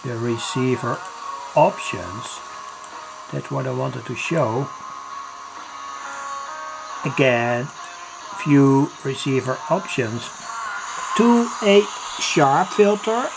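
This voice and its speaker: A hobbyist giving an unscripted demo at home, explaining piece by piece in a halting way with frequent pauses. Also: medium pitch, lively intonation, creaky, music in the background. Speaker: hobbyist demonstrator. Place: home, indoors near a kitchen. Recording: noisy room with radio static. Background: radio noise.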